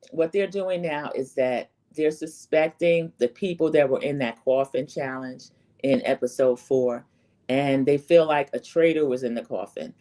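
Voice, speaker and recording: Low-pitched, slightly scratchy woman recapping TV. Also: warm tone. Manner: opinionated, rambling storytelling with acted-out quotes. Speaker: host of a reality TV recap channel. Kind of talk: casual chatty recap commentary.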